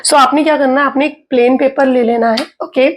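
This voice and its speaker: A woman tarot reader with an Indian accent, hoarse from a sore throat, chatting casually to viewers and checking in with "okay" as she explains. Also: high voice, fairly even intonation, a little fast, slight background noise. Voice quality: husky. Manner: chatty, mixes in English, frequent "okay" and "theek hai" check-ins.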